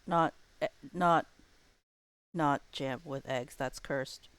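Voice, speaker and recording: A woman livestreamer chatting casually with her viewers in a playful, expressive way. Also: low-pitched, slow pace, clear, resonant tone, no echo.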